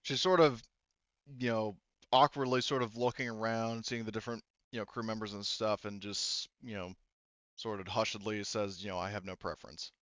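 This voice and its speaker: A man's voice: on the low side, steady tone, moderate pace, fairly bright tone, no echo, lo-fi sound. A man running a tabletop game in casual talk, narrating and explaining rules, often rephrasing as he goes. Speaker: game master.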